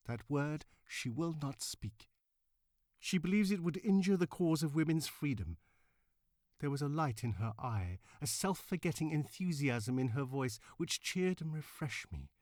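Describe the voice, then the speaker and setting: A man voicing a cultivated, impassioned suffragist woman orator of the 1900s in formal, emphatic, measured speech. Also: a little high, darkish tone, dry recording, clean studio sound. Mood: admiring, fervent. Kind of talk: dramatic reading of historical speech.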